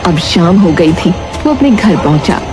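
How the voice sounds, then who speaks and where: A woman's voice: on the low side, very animated, a little slow. Woman narrating a cartoon moral story. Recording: music behind the voice.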